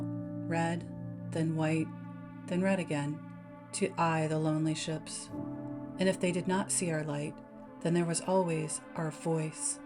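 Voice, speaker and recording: A low female voice you would find on a late-night audiobook stream for insomniacs, music playing behind the tale. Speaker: narrator reading short fiction aloud. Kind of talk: dark bedtime story reading. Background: background music.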